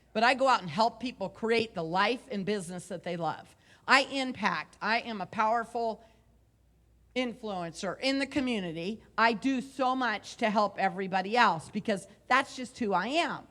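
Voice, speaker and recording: A woman in her forties giving a loud, conversational motivational talk into a handheld mic on a conference room stage. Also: medium pitch. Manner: loud, conversational, with repeated phrases. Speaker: motivational speaker and coach. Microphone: handheld microphone.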